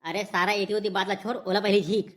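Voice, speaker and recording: A woman's voice: medium pitch, fairly even intonation, fairly quick, very noisy, lightly acted. A woman voicing jittery, wisecracking young village men in a Chhattisgarhi comedy cartoon, rustic, whining and argumentative. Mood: crude, complaining.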